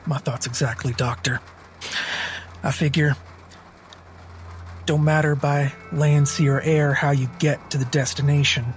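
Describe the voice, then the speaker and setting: Male player as folksy, determined adventurer: fairly high voice, drawn-out emphatic delivery, faint music. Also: flat delivery, natural voice. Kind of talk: in-character tabletop roleplay.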